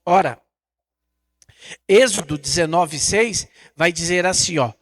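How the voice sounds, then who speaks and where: A man's voice: sits high, takes it slowly. A Brazilian man preaching a Bible lesson in an emphatic, didactic way, repeating phrases and posing rhetorical questions. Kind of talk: Bible teaching sermon.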